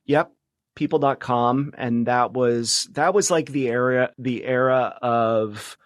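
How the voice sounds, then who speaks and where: A middle-aged man speaking as a guest in a casual interview, reflective and understated, with halting phrasing. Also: mid-range voice, fairly even intonation, no echo, clean studio sound. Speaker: podcast guest, media professional.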